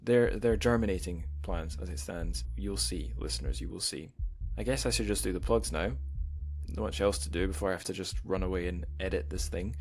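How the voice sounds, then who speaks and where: A man's voice: low-pitched, fairly quick, vocal fry, a little mellow, faint music behind. A man hosting a podcast, chatting casually and then wrapping up the show with jokey, self-deprecating plugs and frequent restarts. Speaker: podcast host. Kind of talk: casual interview chat and show outro.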